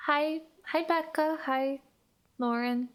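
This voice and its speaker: A sweet little sister as a woman acts her out at the gaming table, high-voiced and eager. She is a young girl, excitable and earnest in manner. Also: takes it slowly. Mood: eager, shy.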